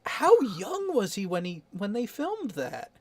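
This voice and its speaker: A man's voice: sits high, wildly swooping pitch, measured pace, a crowd in the background. A man hosting a casual podcast chat, explaining things and giving opinions in an easygoing way. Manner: chatty, explains things, opinionated about sports.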